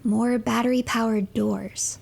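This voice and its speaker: A woman narrating a game story from a script, breathy and often close to a whisper. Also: fairly high pitch, steady tone, measured pace, a little mellow, a little hiss or hum.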